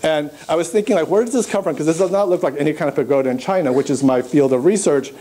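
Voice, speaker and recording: Soft-toned man lecturing with many fillers. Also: a little high. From Burma. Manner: halting, many uh and um fillers, pointing to slides. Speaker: art historian giving a conference talk. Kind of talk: academic conference presentation. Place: lecture room at a conference.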